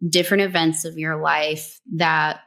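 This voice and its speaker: Brief: woman from New Mexico, interview guest, low voice, unhurried, relaxed talk full of "like". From New Mexico, USA. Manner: relaxed, conversational, many "like"s and "um"s. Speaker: guest, brand story strategist. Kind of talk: casual interview chat, giving advice.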